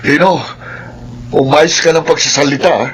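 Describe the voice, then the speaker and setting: An actor playing a scheming, hot-tempered rich son in a melodrama, domineering and threatening in manner. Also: male voice, fairly high pitch, fairly even intonation, normal speed, husky. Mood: angry, threatening. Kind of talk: Filipino radio-style melodrama acting.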